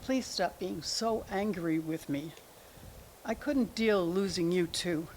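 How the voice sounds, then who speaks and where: A woman's voice: lowish voice, slow, darkish tone. A woman playing an evasive, argumentative older mother in denial about her son, in a staged drama reading. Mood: pleading, regretful. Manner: deflecting, argumentative, talks in analogies. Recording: noisy room ambience.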